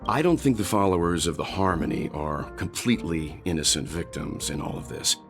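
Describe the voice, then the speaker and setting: Very deep-voiced, grave game strategist. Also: male voice, animated, gravelly. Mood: grave, measured. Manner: measured, serious, analytical. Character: grave, composed adult man, space-traveling strategist. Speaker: voice actor in a video game. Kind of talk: scripted game dialogue. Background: faint background music.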